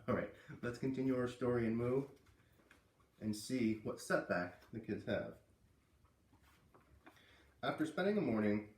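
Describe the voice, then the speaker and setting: A man's voice: mid-range voice, a little mellow. Man reading aloud, in an echoey room. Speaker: read-aloud storyteller. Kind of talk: expressive read-aloud of a children's novel. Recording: roomy echo, distant from mic.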